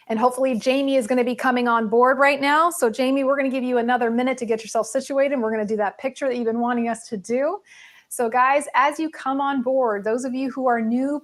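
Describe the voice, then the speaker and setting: A woman with a fairly high voice hosts a livestream. She talks in a chatty, informal way and addresses her viewers directly as a show host would. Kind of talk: casual livestream chat with viewers.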